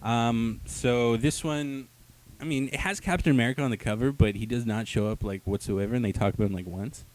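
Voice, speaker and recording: A man's voice: mid-range voice, brisk, darkish tone, a little hiss or hum, low-quality recording. A man co-hosting a casual comic book review show, talking in a jokey, slangy way with plenty of swearing. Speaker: podcast co-host and comics reviewer.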